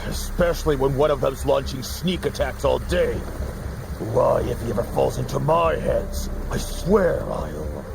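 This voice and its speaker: A man's voice: medium pitch, expressive, a little slow, vocal fry, noisy background. A man voicing a grumbling, menacing, hot-tempered henchman in a video game, blustering and resentful. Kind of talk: video game enemy acting.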